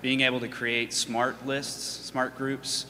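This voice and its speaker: A man presenting his startup product in a measured way with frequent fillers. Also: mid-range voice, flat delivery, sharp tone. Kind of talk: product pitch. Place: conference hall. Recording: long hall reverb, faint hum.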